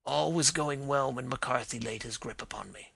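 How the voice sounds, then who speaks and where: A man's voice: mid-range voice, normal speed, creaky, a bit bright, husky, dry recording. A man voicing a dying older man who pleads and confesses with emotion. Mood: confessing. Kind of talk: dramatized reading of a detective story.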